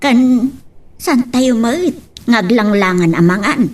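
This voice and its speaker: Village mother for radio-style drama, female actor: outspoken, distinctly high voice, slightly off-mic. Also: animated, takes it slowly, far from the mic. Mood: questioning. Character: outspoken village mother, wife of an old farmer. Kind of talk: Ilocano audio drama acting.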